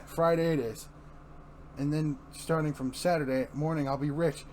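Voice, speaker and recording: A man's voice: fairly high pitch, fairly even intonation, unhurried, darkish tone, slight background noise, lightly acted. A man playing an anxious, self-doubting young husband and failed comedian, hesitant and rambling, in an amateur comic reading. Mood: wistful.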